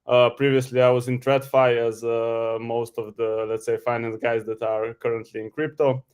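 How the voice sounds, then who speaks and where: A man's voice: mid-range voice, flat delivery, normal speed, darkish tone, clean tone. A man from Slovenia with a Slovenian accent, a guest explaining his professional background informally on an online call. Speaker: guest, crypto treasury specialist. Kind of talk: informal professional self-introduction.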